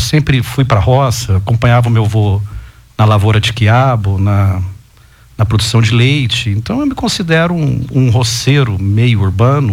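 Man, talk show guest, casual storytelling, lively rising emphases. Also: mid-range voice. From Goiás, Brazil. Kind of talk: casual chat.

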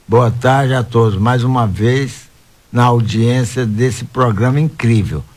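A man's voice: mid-range voice, slow, a little mellow. A man hosting a radio talk show, greeting listeners and questioning guests with hesitant fillers.

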